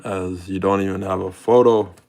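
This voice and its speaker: A man's voice: sits low, fairly even intonation, normal speed, heavy background noise. A young man live-streaming in public, chatting casually with his viewers and thanking them by name. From mixed Jamaican and British heritage. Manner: laid-back, thanks chatters by name, talks to chat. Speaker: IRL streamer.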